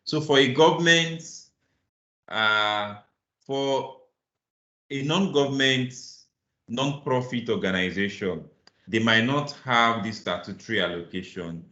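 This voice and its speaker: A man's voice: medium pitch, unhurried, bright, forceful tone. A man from Nigeria teaching a live online finance class, patiently encouraging answers and reading definitions aloud over a video call. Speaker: financial modeling instructor. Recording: roomy, slightly muffled call audio.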